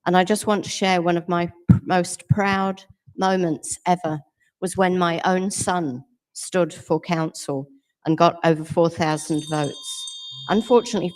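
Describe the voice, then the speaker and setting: A middle-aged woman giving a steady, assured campaign closing statement as a council candidate. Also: on the low side.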